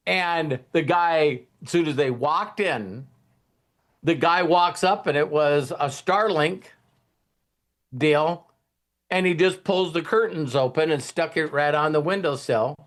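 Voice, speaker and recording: A man with a somewhat high-pitched voice talks casually as a motivational host. He rambles along in a folksy way, leaning on anecdotes and plain language. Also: slow pace, long pauses, fairly bright tone. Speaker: host of a motivational team talk.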